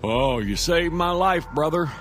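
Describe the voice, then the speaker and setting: Guy putting on a high frantic homeless man, pleading, horror audio story, music behind. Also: lively intonation, unhurried, a bit bright. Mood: relieved.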